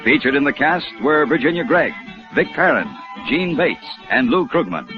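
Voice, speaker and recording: A man's voice: a little high, expressive, normal speed. A man reading credits as a 1950s radio announcer. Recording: dull old radio broadcast. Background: music.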